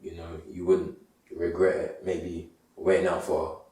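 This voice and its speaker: Man, host, very low, warm tone, relaxed, roomy far-off sound. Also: measured pace. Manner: relaxed, constant "yeah, yeah" backchannel. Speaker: podcast host. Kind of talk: casual interview. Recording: roomy sound from a distant mic.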